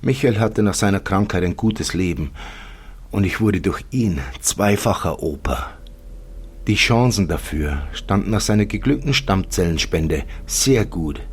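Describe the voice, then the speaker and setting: A male reader acting as a wistful storyteller with a very low, husky voice. He narrates an audiobook-style horror tale in the first person, measured and with deliberate pauses. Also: lively intonation, full character voice. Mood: wistful, calm. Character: wistful male narrator mourning an imagined life.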